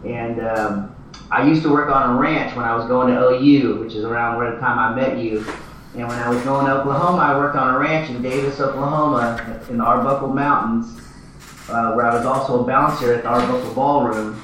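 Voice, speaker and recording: A man from Texas guesting on a talk show by video call, telling stories in a relaxed, friendly way. He sits well back from the mic, and the audio has a heavy room echo. A faint hum of background noise runs beneath his voice. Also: mid-range voice, a little fast, distant mic. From Texas, born in Thailand. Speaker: guest musician. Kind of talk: casual interview chat.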